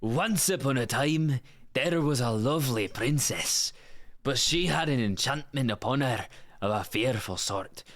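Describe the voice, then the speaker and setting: The wry Scotsman played by a man who reads the opening of an animated fairy tale from a big old storybook, theatrical and measured. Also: medium pitch, voice pushed up. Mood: storybook grandeur. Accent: Scottish. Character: full-on Scotsman storyteller, wryly scornful.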